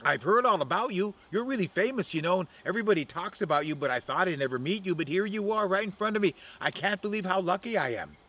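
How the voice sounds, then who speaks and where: A man voicing a sly female octopus who flatters and coaxes her prey. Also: high-pitched, fast talker, few pauses, voice pushed up. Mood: flattering. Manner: sweet-talking, coaxing.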